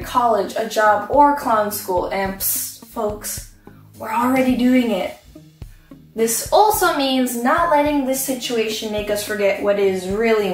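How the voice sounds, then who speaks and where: Playful young woman in an echoey room. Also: fairly high pitch. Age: young adult. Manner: playful, upbeat, with comic asides. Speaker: graduating student speaker. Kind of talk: prepared commencement speech. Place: bedroom at home, indoors. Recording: roomy echo, mic at a distance.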